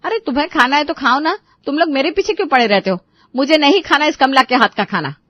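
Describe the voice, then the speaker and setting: A woman's voice: a little high, fairly quick, dry recording, lo-fi sound, lightly acted. A woman voicing an irritable, scolding older mother-in-law and grandmother in a dramatised story. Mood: irritated. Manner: sharp, nagging, indignant.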